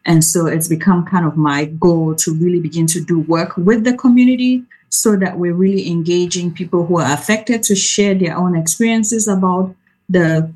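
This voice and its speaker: A soft-spoken woman from Cameroon, a researcher giving an informal talk over a video call. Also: fairly low pitch, low-quality recording. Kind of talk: informal presentation.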